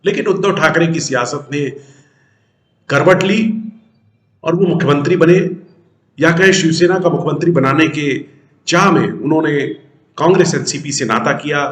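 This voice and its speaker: A man hosting a news show, giving emphatic political commentary to viewers and posing rhetorical questions that he answers himself. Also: fairly high pitch. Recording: roomy, echoing sound.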